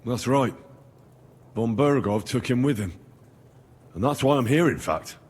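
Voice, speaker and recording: A man's voice: mid-range voice, expressive, unhurried, a little mellow, slightly rough, big-room sound. A man playing an earnest, plain-spoken young medieval squire in dramatic video game dialogue. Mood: sober.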